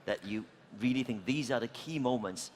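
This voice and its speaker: A man's voice: mid-range voice, normal speed, slight background noise. A man moderating a conference panel, asking long, rambling questions full of fillers. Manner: rambling, filler-heavy questions with encouraging backchannels.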